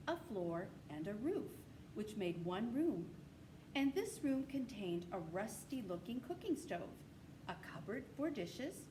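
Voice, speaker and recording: A woman reads a children's novel aloud in a measured, descriptive storytelling manner. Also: mid-range voice. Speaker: storybook reader. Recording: clearly noisy amateur recording.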